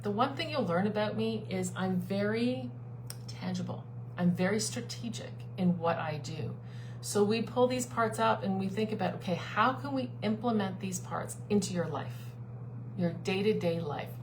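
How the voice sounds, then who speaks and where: Woman, life coach, mid-pitched, earnest and persuasive, live stream with a steady hum behind her. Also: moderate pace, poor audio. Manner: earnest, persuasive, repeats key phrases. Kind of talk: live-streamed talk to a online group.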